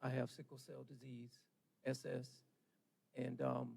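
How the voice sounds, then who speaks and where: Guy in his 40s, raspy gravelly voice, dry close sound, easygoing panelist. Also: medium pitch, completely flat delivery, measured pace, darkish tone, no room sound. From Charleston, South Carolina. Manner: casual, earnest, uses ums. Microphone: handheld microphone.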